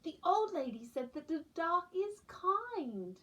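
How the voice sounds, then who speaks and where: A woman's voice: very high voice, very animated, takes it slowly, fairly bright tone, pitched above the performer's own voice, heavily acted. A woman reading a storybook as a young male baby owl, earnest and timid in a childlike, emphatic way.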